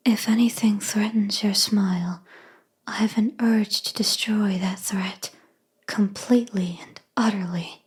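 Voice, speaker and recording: A woman voicing a doting, clingy yandere kitsune wife in an intimate ASMR roleplay, mostly in a soft, tender whisper. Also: a little high, slightly rough, full character voice. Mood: darkly possessive. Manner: tender, slow, cooing affection.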